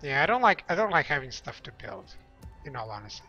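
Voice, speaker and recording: A man's voice: fairly high pitch, big pitch swings, a little fast, sharp tone. A young man casually chatting with viewers while playing a strategy game, rambling and laughing easily. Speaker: game streamer. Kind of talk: casual let's-play chat with viewers. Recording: slightly dull lo-fi sound with game music.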